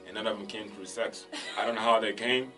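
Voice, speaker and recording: A man's voice: on the low side, fairly even intonation, a little fast, sharp tone. A man from Africa, co-hosting a radio talk show and telling boastful, joking stories while laughing at his own lines. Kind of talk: casual talk radio banter. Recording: slightly distant mic, faint music behind.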